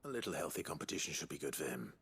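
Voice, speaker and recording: An actor playing a calm, kindly warrior hero in a video game, speaking in an earnest, measured way. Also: male voice, a bass voice, slightly rough, heavily acted. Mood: warm, assured. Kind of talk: dramatic video game dialogue. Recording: music under the voice.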